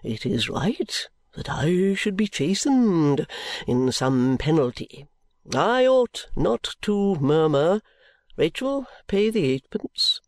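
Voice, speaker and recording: An oily, self-important preacher drawls his blessings in slow, stately phrases, his pitch swinging high and low, as a reader puts him on. The sound is dry and close. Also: very animated, dry recording, exaggerated voice. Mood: unctuous. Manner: slow, grandly sermonizing with long pauses. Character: oily pompous large male preacher.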